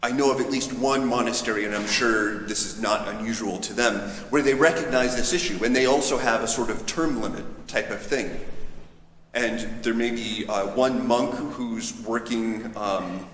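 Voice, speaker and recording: A man's mid-pitched voice rings through a large church with long reverb and some faint background noise. He preaches as a priest would in a homily, conversational and anecdotal. His delivery is reflective and slightly halting. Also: a bit bright.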